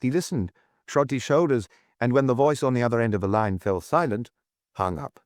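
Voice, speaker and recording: A male narrator reads detective fiction from a script. His voice sits in a middle pitch, recorded very dry with hardly any room around it. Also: fairly quick, darkish tone, dry recording. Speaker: audiobook narrator.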